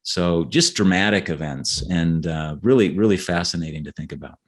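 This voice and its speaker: A man's voice: low-pitched, normal speed. A man talking as an author in a conversational podcast interview, earnest and explanatory, often restarting his phrases.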